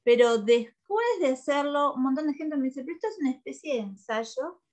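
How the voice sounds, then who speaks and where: Woman, Uruguayan accent, fairly high voice, casual radio interview guest, comedian and co-host. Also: a little fast, a little mellow.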